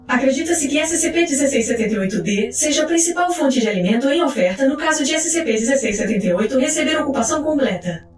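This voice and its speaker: A woman narrator reading scripted case files in a formal, clinical, measured manner. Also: sits high. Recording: roomy echo with music underneath. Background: music.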